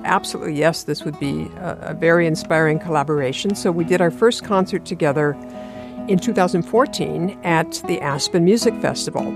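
A woman guitarist being interviewed, answering in an articulate, thoughtful and measured way. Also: lowish voice. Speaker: classical guitarist, interview guest. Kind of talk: interview conversation.

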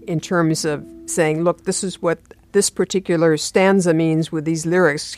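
A woman with a somewhat low voice speaks as an interview guest and talks about her craft in a measured, articulate way. Faint music plays softly underneath her. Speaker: classical guitarist, interview guest. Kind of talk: interview conversation.

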